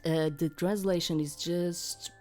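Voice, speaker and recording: A woman's voice: lowish voice, fairly even intonation, a little slow, mellow, soft background music. A middle-aged woman hosting a gaming channel, chatting casually and rambling, with hesitant explanations to her viewers.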